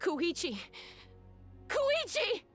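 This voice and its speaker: A woman's voice: lowish voice, normal speed, a little mellow, husky, faint music behind. An actress playing a devoted, protective young woman in a video game, speaking with earnest, emotional reassurance. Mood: anguished. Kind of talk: dramatic video game voice acting.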